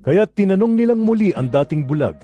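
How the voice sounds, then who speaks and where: A man's voice: high-pitched, expressive, fairly quick, highs cut off, faint music behind. A man giving scripted narration of scripture as the narrator.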